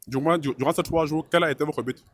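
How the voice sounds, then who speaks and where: A man's voice: a little high, quick pace. A man hosting his channel and interviewing guests at a trade fair, with short encouraging prompts. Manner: brief, encouraging acknowledgements. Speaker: YouTube host, interviewer. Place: busy trade fair hall.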